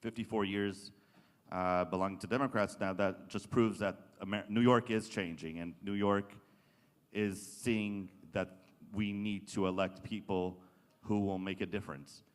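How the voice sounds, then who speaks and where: A man from Israel giving an earnest, halting political speech to an audience as a state lawmaker. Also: fairly low pitch, fairly even intonation. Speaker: state assembly member, panel speaker. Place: large indoor conference session room. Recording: echoey hall with long reverb.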